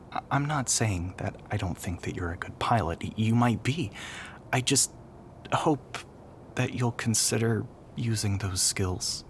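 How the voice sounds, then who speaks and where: Over clearly noisy ambience comes a troubled young male navigator from a military sci-fi audio roleplay , acted by a man. His voice sits on the low side and he speaks haltingly, stammering with raw feeling. He is bitter and volatile, yet apologetic. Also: natural voice. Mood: subdued, earnest. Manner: halting, stammering, emotionally charged delivery. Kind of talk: audio roleplay acting addressed to the listener.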